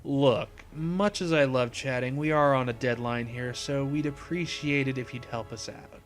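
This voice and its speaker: A man voicing a cool, calculating criminal in a story, with a controlled, smug, measured drawl. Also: medium pitch, faint music behind, close to an everyday voice. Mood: dry, smug.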